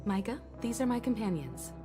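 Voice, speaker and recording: A woman's voice: a little high, big pitch swings, moderate pace, music in the background. A woman playing a courteous, confident group leader in a video game, making welcoming remarks in a poised, articulate way. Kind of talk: dubbed video game story dialogue.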